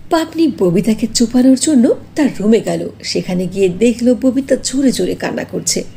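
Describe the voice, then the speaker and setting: Lively, high-voiced woman narrator. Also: normal speed, creaky, slight background noise. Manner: animated, expressive. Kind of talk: scripted fairy-tale cartoon narration.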